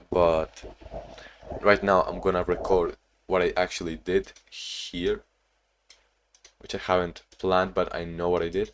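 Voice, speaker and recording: A young man talking in a casual, reflective diary-style vlog, halting with frequent restarts and sounding a bit sleepy. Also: low-pitched, little pitch movement, a little hiss or hum. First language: not English; Portuguese not first language either. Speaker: vlogger.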